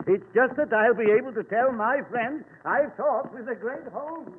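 An actor playing a fawning, humble old poor man, halting and obsequious, with a husky voice, in 1940s radio style. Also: extremely high pitch, slight background noise. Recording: tinny old radio recording.

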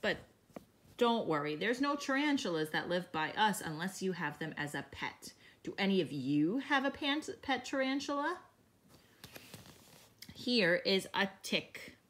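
Slow, gentle teacher reading to kids. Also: female voice, mid-range voice, very animated. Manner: slow, gentle, explains to young children. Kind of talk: children's picture book read-aloud.

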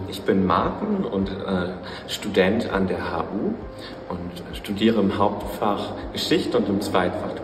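A young man with a deep voice introduces himself from some distance in an echoing hall. Music is clearly audible behind him. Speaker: history student. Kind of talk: self-introduction to camera. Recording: reverberant hall, distant microphone. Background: background music.

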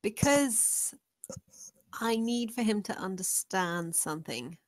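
A woman's voice: medium pitch, animated, slow, no room sound. Manipulative businesswoman, female table-read actor: seductive, coaxing, medium register, dry. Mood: coaxing, demure. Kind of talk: amateur screenplay table read.

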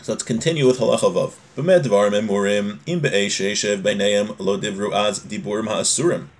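A man's voice: on the low side, fairly quick. Man explaining a religious text. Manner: explanatory, repeats and rephrases. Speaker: religious teacher. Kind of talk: informal religious lesson, reading a text aloud and translating. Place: small home room, indoors.

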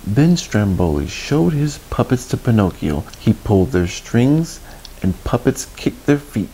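A man's voice: medium pitch, sing-song, measured pace, dark tone, low-quality recording. A man in his thirties reading a picture book expressively to children, with asides to the listeners. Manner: slips in asides and questions to young listeners. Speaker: children's story reader, host. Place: small indoor room. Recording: noticeable background noise.

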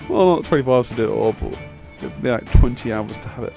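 Creaky, tired young man rambling. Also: medium pitch, lively intonation, fast, a little mellow. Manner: rambling, self-deprecating, swears casually. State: voice tired from hours of talking. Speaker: video game let's player. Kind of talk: casual rambling gameplay commentary. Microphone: microphone, voice-over on game video. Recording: music playing behind the voice. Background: game end-credits music.

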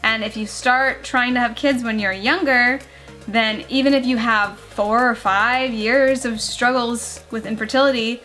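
Twenties woman vlogger, earnest casual chat on the couch, slightly high voice, camera mic across the room. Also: fairly even intonation, unhurried, bright, forceful tone, soft background music. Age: 20s. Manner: chatty, earnest, many "like" fillers. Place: living room couch, indoors. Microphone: camera mic at a few feet.

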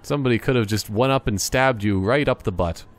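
A man hosting a gameplay video with casual, joking commentary and goofy deadpan asides. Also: mid-range voice, fairly quick, faint music behind. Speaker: gaming video host.

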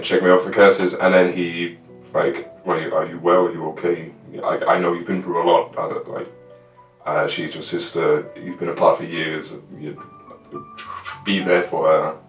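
A young man with a low voice recounts things casually and haltingly. He sits a little away from the microphone in a roomy space. Faint music plays underneath. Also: recorded from a distance. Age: 20s. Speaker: guest, fellow live roleplayer. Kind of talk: casual chat, recounting a scene. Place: small room at home with costumes.

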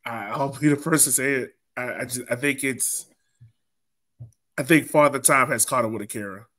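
A man's voice: medium pitch, moderate pace, fairly bright tone. Man hosting a sports chat. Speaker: podcast host. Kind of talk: casual sports recap discussion. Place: indoor room, video call. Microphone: video call microphone.

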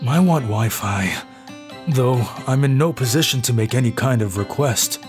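A woman voicing an anxious, self-pitying, socially awkward boy narrating his own life in an animated manga story. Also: a bass voice, normal speed, soft background music. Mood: glum, reflective. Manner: expressive, self-deprecating monologue with outbursts.

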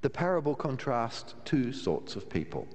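An older man, a minister, giving a measured sermon from the pulpit of a large church, with a wry touch and slow, emphatic pauses. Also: medium pitch, very animated. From Australia. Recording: long church reverb, faint room hiss.

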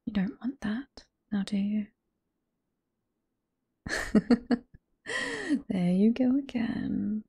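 A woman with a British accent playing a flirty, teasing, sweet head librarian boss in a whispered ASMR roleplay, soft and coy, close to the microphone. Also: medium pitch, very slow, gravelly, long pauses, slightly rough, no room sound, exaggerated voice. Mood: teasing, mock-stern. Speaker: voice performer in roleplay.

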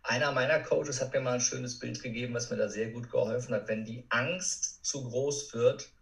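A man in his thirties speaks as a guest coach on a podcast. His voice sits at a middle pitch, and he explains his points conversationally, as in an interview. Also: steady tone, normal speed, vocal fry. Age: 30s.

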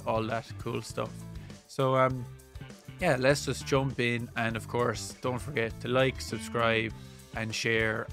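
Irish male podcast host, 30s, loud and energetic, chatty and quick to laugh, faint music under. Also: medium pitch, vocal fry. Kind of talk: casual chat. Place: home music room. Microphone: headset and studio mic.

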